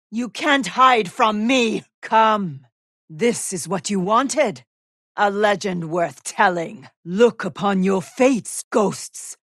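A woman's voice: lowish voice, no room sound, clean studio sound. An actress playing a vengeful demon witch and wronged mother in a video game, menacing and theatrical. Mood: commanding, menacing. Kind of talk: dramatic video game villain acting.

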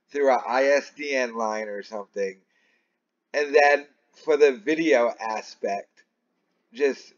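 Male online commentator, unhurried and rambling, puzzled tone, casual delivery, muffled low-fidelity recording. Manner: baffled, rambling, repeats phrases for emphasis. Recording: dull, lo-fi sound.

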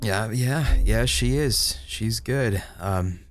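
Man acting a deep, halting, lonely dad. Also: soft background music. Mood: apologetic, downcast. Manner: halting, stammering, hesitant. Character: lonely, self-doubting father, subdued and regretful. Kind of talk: amateur audio drama acting.

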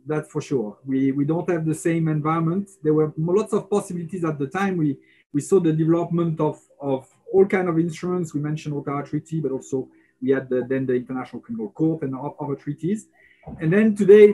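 A man giving reflective, halting answers as a panelist on a video call. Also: fairly high pitch, mellow, low-quality recording.

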